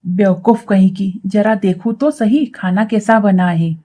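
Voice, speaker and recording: A woman's voice: mid-range voice, fairly even intonation, unhurried, warm tone, lightly acted. A woman voicing a spiteful, scheming young crow sister-in-law in a cartoon story, sly and mocking with many exclamations. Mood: sly, scornful. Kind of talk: Hindi cartoon story acting.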